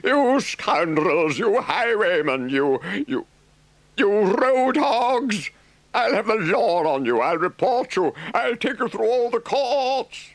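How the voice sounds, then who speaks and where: A man's voice: on the low side, big pitch swings, unhurried, fairly bright tone, dry recording, full character voice. A man voicing a hot-tempered but practical male water rat, indignant and then firm. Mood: furious. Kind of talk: audiobook character voice.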